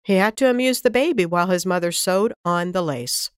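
A woman reading a classic children's story aloud in an expressive, warm storytelling manner on a close studio mic. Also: on the low side, a little fast, clear, resonant tone, no room sound.

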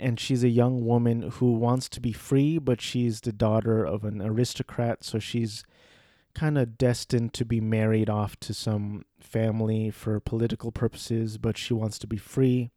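A man's voice: medium pitch, mellow, no room sound, clean studio sound. A man talking casually on his own, hesitant, with many fillers and restarts. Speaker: solo commentator. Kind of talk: casual rambling chat.

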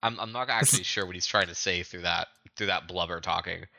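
Very sharp, bright-voiced male co-host. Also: low voice, fast, gravelly, no echo. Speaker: podcast co-host. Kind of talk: casual banter.

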